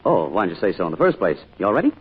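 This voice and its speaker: An actor playing a genial, joking husband at home, casual and teasing, in an old-time radio drama. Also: male voice, low-pitched, wildly swooping pitch, very fast, breathy edge, faint music behind, full character voice. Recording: muffled old radio recording.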